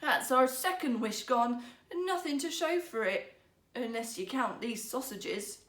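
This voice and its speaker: A woman's voice: fairly high pitch, expressive, measured pace, fairly bright tone. A woman voicing a poor woodcutter husband in a fairytale, earnest, emphatic, teasing and easily riled. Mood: rueful, teasing. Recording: roomy, echoing sound.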